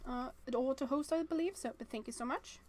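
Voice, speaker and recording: A woman game streamer reading a visual novel aloud with casual commentary, often hesitant and thinking out loud in fragments. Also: sits high, a little fast.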